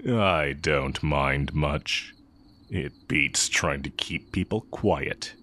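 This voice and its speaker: A man playing an exiled heretic dark-magic teacher in an intimate audio roleplay, wry and condescending yet fond of the listener. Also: very deep voice, very slow, exaggerated voice. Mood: fond, musing.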